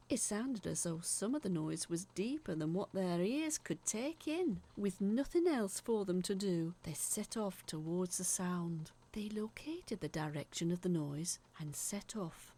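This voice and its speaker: Expressive, creaky-voiced woman acting a haunted storyteller. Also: medium pitch. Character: woman letter writer recalling eerie childhood tales, earnest, haunted. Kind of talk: dramatic scripted narration, read as a letter.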